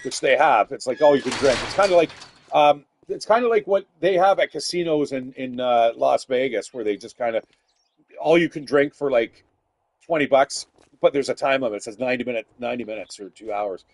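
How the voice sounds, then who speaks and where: A man giving loud, rambling, profanity-filled commentary while playing a video game. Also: medium pitch, fairly quick, dry recording. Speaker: gaming streamer. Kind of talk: casual gameplay commentary.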